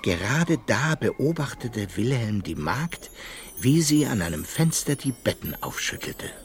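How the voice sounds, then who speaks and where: A man's voice: medium pitch, very animated, unhurried. A man narrating a children's radio play as a scripted story.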